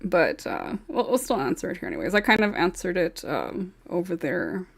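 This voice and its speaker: A woman's voice: on the low side, huge pitch swings, normal speed, vocal fry, husky. A woman hosting a casual group podcast, moderating questions in a giggly, apologetic and flustered way. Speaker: podcast host.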